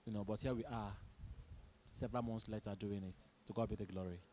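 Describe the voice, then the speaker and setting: Low-voiced Nigerian man over background noise. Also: fairly even intonation, fairly quick, dark tone. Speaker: stage director and producer. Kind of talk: interview answers. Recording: clear background noise, event ambience.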